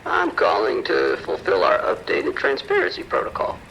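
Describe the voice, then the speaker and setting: A man playing a polished research scientist in an audio drama, formal and precise, offering measured reassurance. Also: low voice, huge pitch swings, vocal fry, bright, forceful tone, a little hiss or hum, heavily acted, poor audio. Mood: formal, reassuring.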